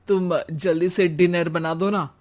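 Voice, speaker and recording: A man's voice: sits high, steady tone, measured pace, darkish tone. A man voicing a harried young husband in a cartoon, coaxing and a bit impatient. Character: harried young husband Suraj, office worker. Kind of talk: cartoon voice acting.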